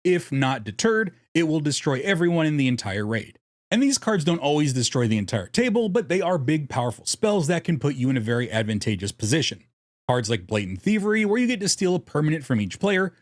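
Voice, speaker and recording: A man hosting a scripted guide video, narrating in a casual style with playful, wry asides. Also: on the high side. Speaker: host of a card game video.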